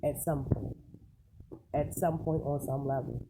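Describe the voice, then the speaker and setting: Noisy recording with clicks, mid-pitched voice, casual rambling commentary, emphatic, colloquial, rhetorical questions. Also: fairly even intonation, measured pace, creaky. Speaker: online celebrity news commentator.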